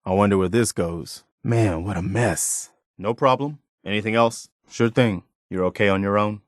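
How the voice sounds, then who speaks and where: A man's voice: fairly low pitch, moderate pace, no room sound, studio quality, natural voice. A man voicing an earnest, dutiful militia soldier, a loyal and principled game companion who speaks plainly and sincerely. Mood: calm, helpful. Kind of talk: video game companion voice lines.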